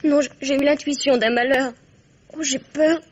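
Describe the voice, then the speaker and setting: An actress plays a headstrong young woman of twenty in a vintage French radio mystery. Her voice is very high, breathless and stammering, full of melodramatic anguish. The recording is dull and old-fashioned. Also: fairly even intonation, moderate pace, slight background noise, close to an everyday voice. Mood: anxious, distraught. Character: anguished, headstrong twenty-year-old daughter accusing her elders of poisoning.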